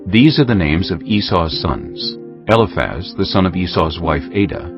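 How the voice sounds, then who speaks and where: Male narrator, deep register, measured scripture reading, animated melody, slightly dull recording, clear musical backing. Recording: dull, muffled audio under music.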